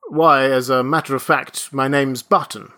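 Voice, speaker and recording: Man voicing an earnest, firm young hero, bright-toned, audiobook. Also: mid-range voice, fairly even intonation, moderate pace, natural voice. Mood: insistent. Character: earnest, insistent male young man who looks old.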